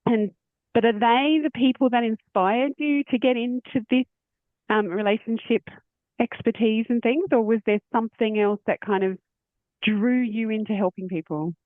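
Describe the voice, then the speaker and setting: A woman's voice: fairly high pitch, fairly even intonation, normal speed, dry recording. A woman hosting a podcast, chatting in an easy, rambling way. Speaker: podcast host. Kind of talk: podcast interview. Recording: tinny phone-like line.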